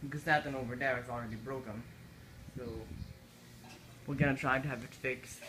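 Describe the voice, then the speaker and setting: Male vlogger, everyday mid voice, halting delivery, phone audio in a home with audible room noise. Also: mid-range voice, fairly even intonation, pushed and bright, rough recording. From Philippines. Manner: halting, repeats words and restarts often. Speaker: fan enthusiast vlogger. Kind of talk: casual filmed commentary. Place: indoors in a house kitchen. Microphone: handheld phone camera.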